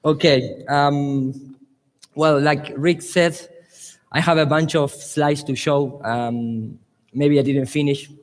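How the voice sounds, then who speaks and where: A man with an Argentinian accent banters and laughs on a conference stage. His English is halting and full of restarts, and his voice sits a little high. The sound rings through a large hall with a long echo. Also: steady tone. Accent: Argentinian Spanish. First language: Spanish. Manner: playful, laughing, halting non-native English. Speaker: conference speaker.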